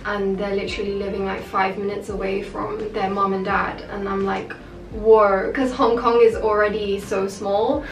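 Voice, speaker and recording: A woman's voice: medium pitch, level tone, moderate pace. A young woman, raised in the UK, chatting candidly to camera with lots of filler words. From half Japanese, raised in the UK. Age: young adult. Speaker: vlogger. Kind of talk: casual chat to camera. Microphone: far camera mic. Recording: echoey room, distant mic, noisy.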